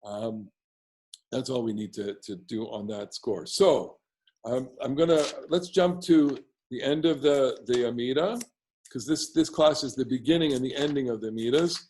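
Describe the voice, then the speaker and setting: A man with a baritone bass voice teaches prayer tunes from his home in a loose, chatty way. He wanders off into asides and often restarts his sentences, and his pitch sits in a middle range for a man. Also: moderate pace, a little mellow. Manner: rambling, with many restarts and asides. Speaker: teacher of synagogue prayer melodies. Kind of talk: casual online class.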